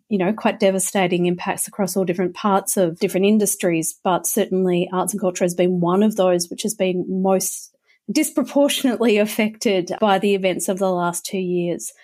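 A woman talks as a guest in a considered panel discussion. Her voice is mid-pitched and distinctly mellow, with a slight croak. She phrases things carefully and articulately. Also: steady tone, normal speed. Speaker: panel guest, arts think tank executive.

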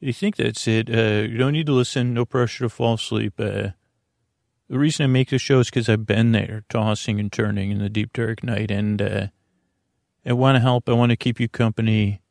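A man hosting a bedtime podcast, talking in a meandering, gentle way full of fillers and restarts. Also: on the low side, fairly even intonation, normal speed, gravelly, dry recording, clean studio sound. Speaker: podcast host. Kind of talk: rambling soothing bedtime podcast talk.

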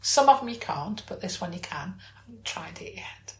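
Woman, medium pitch, casual conversational style, informal, searching for words, roomy echo. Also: lively intonation, moderate pace, a bit bright, in a whisper. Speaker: podcast host. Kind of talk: casual chat. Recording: echoey room.

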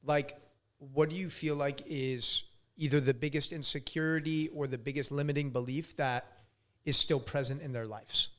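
Man, 30s, podcast host, casual talk, lots of filler words, relaxed. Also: fairly high pitch, normal speed. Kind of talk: casual interview chat.

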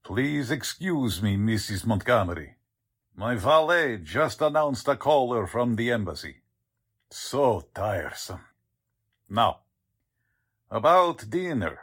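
A man's voice: medium pitch, a bit bright, heavily acted. An actor playing a scheming, pompous con man who poses as a count, in 1940s radio drama style. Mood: suave, affected. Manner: suave affected courtesy switching to gruff bossiness.

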